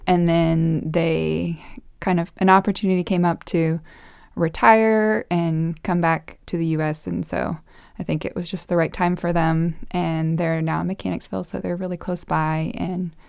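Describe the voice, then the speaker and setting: Young woman guest, grew up in Costa Rica; soft-spoken, pausing, gravelly texture. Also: on the low side, steady tone, no echo, clean studio sound. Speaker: podcast guest. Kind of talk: casual conversational interview.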